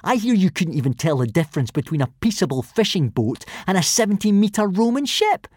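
A man's voice: medium pitch, huge pitch swings, normal speed, a bit bright, husky, no echo, studio quality, exaggerated voice. A man voicing a smug young Viking bully in a storybook, drawling, jeering and mocking.

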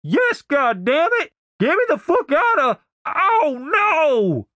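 A narrator voicing a brash, foul-mouthed young country woman with a blunt, excitable, folksy drawl, as audiobook character dialogue. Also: medium pitch, normal speed, dry recording, voice pushed up. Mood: panicked, furious.

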